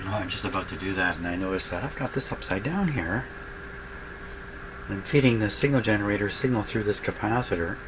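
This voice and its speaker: A man narrating his workbench repair casually, thinking aloud in a halting, musing way. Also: lowish voice. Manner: musing, halting, talking to himself. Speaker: radio repair hobbyist, host of a workshop show. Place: small indoor workshop crowded with electronic test gear. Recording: loud background hum and static noise.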